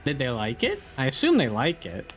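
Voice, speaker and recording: A man's voice: medium pitch, huge pitch swings, moderate pace, faint music behind. A man live-streaming a game, chatting casually, swearing now and then, reacting to play and reading chat aloud, a bit sniffly.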